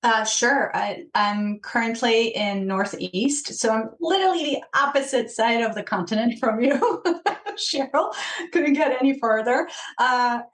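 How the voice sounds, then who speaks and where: A woman's voice: on the high side, measured pace, recorded from a distance. A woman from Poland with a Polish accent, talking as an interview guest in a thoughtful way with many fillers and restarts. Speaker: guest, program and change management director. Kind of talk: remote interview.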